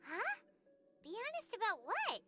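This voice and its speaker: A woman voicing a tiny, excitable female fairy companion in an anime game, squeaky and childlike. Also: measured pace, heavily acted. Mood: puzzled. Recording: music behind the voice.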